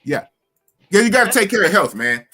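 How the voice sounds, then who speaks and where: A man's voice: high-pitched, a little fast, slightly rough, faint music behind. A man in his fifties hosting a live podcast, chatting loosely with his viewers and repeating himself. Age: 50s. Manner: animated, talks to chat, repeats phrases. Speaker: podcast host. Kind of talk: casual live-stream chat.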